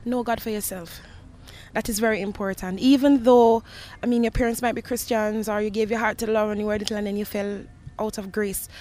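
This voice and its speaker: A woman's voice: medium pitch, fairly even intonation, a little fast, mellow. A young woman with a Jamaican accent giving earnest, reflective answers in an interview, as a gospel singer. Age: young adult. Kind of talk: interview answers, personal testimony. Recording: noisy location with ambient sound.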